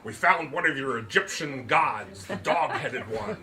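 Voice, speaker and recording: A man's voice: fairly high pitch, animated, a little slow, distant mic, noisy background. A man voicing a grumpy, dismissive middle-aged businessman father, blustering and indignant in an old-fashioned way. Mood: puzzled.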